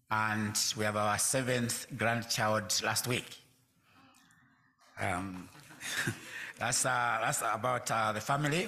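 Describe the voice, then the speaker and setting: A man in his sixties from Zambia, a pastor speaking to a congregation in a measured way, pausing often with fillers. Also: medium pitch, pushed and bright. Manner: measured, with frequent hesitant fillers. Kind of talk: church talk to a congregation.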